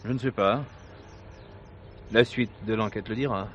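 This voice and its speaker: An actor playing a police commissioner in a 1970s radio drama, questioning witnesses with courteous insistence. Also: male voice, lowish voice, steady tone, a little slow, dull audio, heavy background noise, lightly acted. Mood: suspicious.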